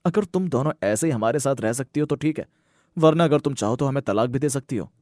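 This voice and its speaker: A man as devoted son and fed-up husband, earnest, emphatic, middle pitch, dry studio sound, cartoon drama. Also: lively intonation, brisk, a little mellow, no echo, natural voice. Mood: firm, defiant. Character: earnest, dutiful grown son and exasperated husband.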